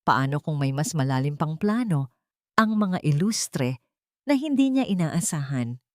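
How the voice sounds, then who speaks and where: A woman's voice: deep voice, very animated, measured pace, dry recording, studio quality. A woman reading a scripted dramatic love story as its narrator.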